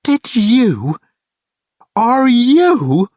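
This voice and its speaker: A man voicing an exasperated, sharp-tongued young woman who snaps back sarcastically in an audiobook. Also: quick pace, slightly rough, dry recording, clean studio sound, close to an everyday voice. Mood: furious. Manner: shrill, sarcastic outbursts. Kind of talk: audiobook character voice.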